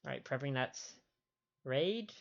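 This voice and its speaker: A man's voice: medium pitch, lively intonation, slow, gravelly. A man chatting casually as a livestream host, sniffly, with hesitant, rambling asides. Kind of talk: casual stream chat. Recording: dull lo-fi stream audio, faint music.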